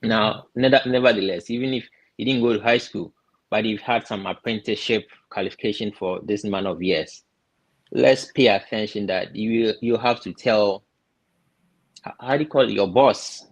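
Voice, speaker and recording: A man from Ghana giving casual step-by-step advice, often pausing and repeating words as he thinks. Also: on the low side, low-quality recording. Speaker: guest explaining visa steps.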